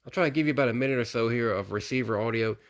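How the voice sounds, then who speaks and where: A man's voice: medium pitch, rapid-fire. A middle-aged American man casually showing off a piece from his collection, speaking in a plain, folksy way and often repeating himself. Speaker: radio collector presenting a hobby video. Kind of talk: casual product showcase narration.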